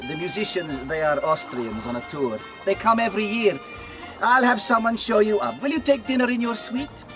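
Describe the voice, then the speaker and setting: A man's voice: high voice, lively intonation, normal speed, music in the background. An actor playing a courteous, effusive hotel host in a dramatised audiobook, deferential to his guests. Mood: eager, courteous. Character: obliging, courteous male hotel host greeting honeymooners.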